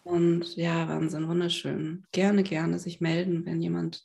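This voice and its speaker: A woman's voice: fairly low pitch, flat delivery, unhurried, creaky, darkish tone. A woman speaking as an interview guest over a video call, talkative and casual, restarting her sentences with fillers. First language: not French. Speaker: guest, psychiatrist.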